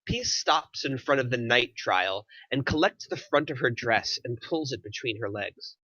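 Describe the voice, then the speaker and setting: A man's voice: mid-range voice, expressive, moderate pace, bright, forceful tone, no room sound. A man reading stage directions as scripted narration at a table read, on a muffled microphone.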